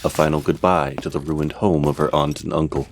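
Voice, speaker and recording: A man narrating a scripted fantasy audio drama as its storyteller, in a measured, theatrical way. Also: rumbling low, steady tone, slight background noise. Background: music.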